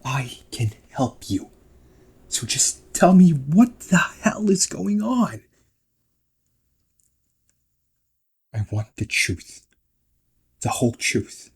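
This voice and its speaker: Casting: male actor as husky-voiced ghost brother for audio roleplay, mid-pitched, protective, emotionally raw and exasperated. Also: sing-song, slow pace, heavily acted. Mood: pleading. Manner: emotional, swearing, swings from outbursts to soft confessions. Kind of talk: amateur audio roleplay, one-sided emotional dialogue.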